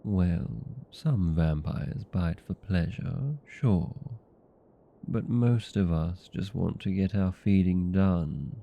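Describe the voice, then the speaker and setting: A man playing a chatty, petty, century-old vampire in a comedic audio roleplay, talking in a wry, conversational, mildly complaining way with drawn-out words. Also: low voice, vocal fry, highs cut off, heavily acted. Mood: mildly annoyed. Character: chatty, petty, 127-year-old male vampire being interviewed.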